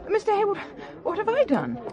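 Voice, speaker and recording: A woman's voice: very high-pitched, huge pitch swings, a little fast, dull audio, crowd noise, exaggerated voice. An actress playing an anxious, deferential secretary in an audio drama, stammering and flustered as she talks.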